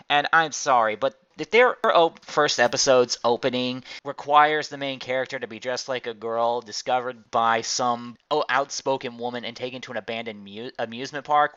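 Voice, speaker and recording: A man's voice: medium pitch, moderate pace, few pauses, pushed and bright. A man hosting a casual group chat, explaining his opinions in a rambling way. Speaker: podcast host. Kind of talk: casual group chat about anime and card games. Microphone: online group voice call. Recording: dull, lo-fi voice chat.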